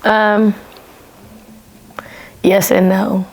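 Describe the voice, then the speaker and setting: A woman's voice: medium pitch, level tone, extremely slow. A woman in her twenties on a podcast panel, opinionated and telling stories. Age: 20s. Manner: opinionated, storytelling. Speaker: panel guest. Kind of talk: casual panel discussion.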